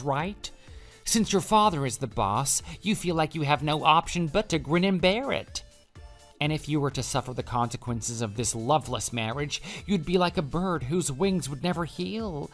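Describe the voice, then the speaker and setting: A man's voice: fairly high pitch, expressive, moderate pace, faint music behind. An actor playing a deluded, pushy male office coworker in love, making florid, earnest declarations in a scripted online drama. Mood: grandiose pleading.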